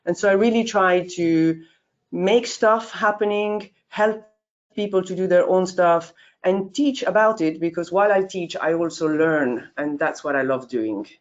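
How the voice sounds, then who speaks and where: A woman in her fifties introduces her course in a relaxed, unscripted way. She often hesitates on fillers, and her pitch leaps up without warning. The recording sounds dull and lo-fi. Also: measured pace. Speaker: course leader. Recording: dull lo-fi audio.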